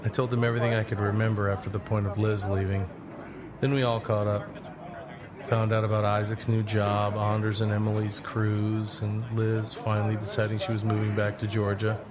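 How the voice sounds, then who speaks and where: A man's voice: fairly low pitch, completely flat delivery, fairly quick, a crowd in the background, lightly acted. An actor playing a reflective young traveler, narrating his own story in a scripted audio drama. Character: reflective young male traveler back from a long walk. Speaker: narrator and lead character.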